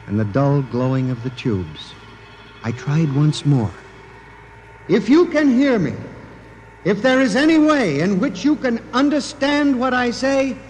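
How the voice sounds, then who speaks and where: An actor playing an obsessed, fearful office clerk, narrating in an earnest, theatrical 1950s radio drama manner. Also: male voice, on the high side, a little mellow, a little hiss or hum. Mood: awed, ominous, then urgent pleading. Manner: intense, earnest, formal, theatrical. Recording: 1950s radio broadcast with music behind.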